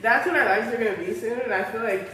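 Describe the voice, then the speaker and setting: A woman's voice: on the high side, quick pace. A woman in her early twenties, co-hosting a podcast in casual chat, laughing and telling stories. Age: 20s. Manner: laughing, animated storytelling. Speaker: podcast co-host. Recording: echoey room, mic at a distance, faint noise.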